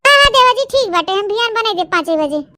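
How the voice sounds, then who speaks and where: A woman with an Awadhi accent voicing a hardworking, put-upon village sister-in-law, chattering plaintively in a pitched-up cartoon voice. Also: expressive, quick pace, pushed and bright, exaggerated voice. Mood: placating. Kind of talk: cartoon comedy dialogue.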